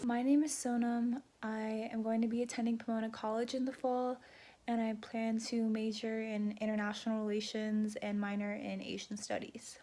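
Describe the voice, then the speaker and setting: A woman's voice: fairly high pitch, little pitch movement, moderate pace, dark tone. A young woman student reflecting casually, with filler words. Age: young adult. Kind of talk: casual personal account.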